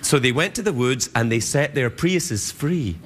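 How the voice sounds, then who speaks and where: A Scottish man hosting a late-night show, joking and laughing at his own gags, a little husky from a cold. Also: mid-range voice, expressive, measured pace. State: has a cold, slightly husky. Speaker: talk show host. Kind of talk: late-night monologue and banter. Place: TV studio with live audience. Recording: loud studio audience laughter.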